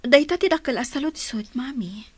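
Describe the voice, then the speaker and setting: A woman's voice: high voice, expressive, moderate pace, a little mellow, slightly rough. A woman with an Ilocano accent voicing an anxious, pleading child of separated parents in a radio drama.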